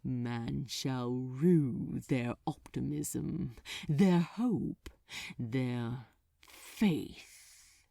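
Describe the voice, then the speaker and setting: A woman voicing a commanding male demon lord in an audiobook, solemn and grandiose, with a hissing, softly alluring delivery. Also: very deep voice, extremely slow, creaky, dry recording, clean studio sound, pitched below the performer's own voice, exaggerated voice. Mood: disgusted menace.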